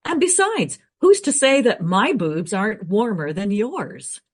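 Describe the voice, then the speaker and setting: A woman's voice: mid-range voice, big pitch swings, a little slow, husky. An actress playing a brash, irreverent woman time traveller with a blunt, sardonic manner in a radio play.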